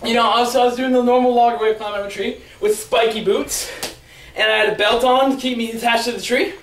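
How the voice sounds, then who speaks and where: A man telling comic stories in an animated, excitable, rambling way with dramatic build-ups. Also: extremely high pitch, quick pace, a little hiss or hum. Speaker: storyteller. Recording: roomy echo, far from the mic.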